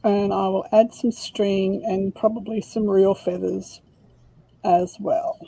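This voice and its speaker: An Australian woman crafting on a live chat, tossing in dry little jokes. Her voice is low-toned and a bit breathy, and she speaks slowly and evenly. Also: level tone, warm tone, poor audio. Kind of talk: casual chat.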